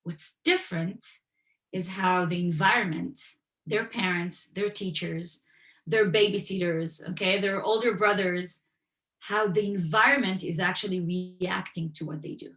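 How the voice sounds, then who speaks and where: A woman's voice: fairly low pitch, unhurried, a bit bright. A woman who grew up in Western Europe, speaking as an author and guest at an online event, telling stories and explaining with everyday examples. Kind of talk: conversational talk at an online event. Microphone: video call. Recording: echoey room.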